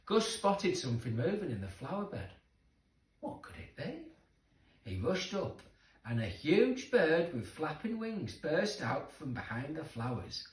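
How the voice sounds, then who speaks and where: A man narrating a children's story expressively, with dramatic emphasis for young listeners. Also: a little high. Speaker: storybook reader. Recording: roomy echo, distant microphone.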